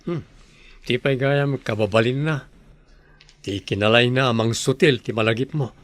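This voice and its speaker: A man's voice: medium pitch, normal speed, lightly acted. An actor with an Ilocano accent playing an earnest young village man in a radio drama. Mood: affectionate.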